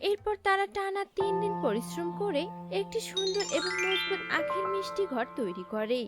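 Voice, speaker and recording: A woman's voice: extremely high pitch, expressive, normal speed. A woman narrating a scripted cartoon story, with music playing behind her.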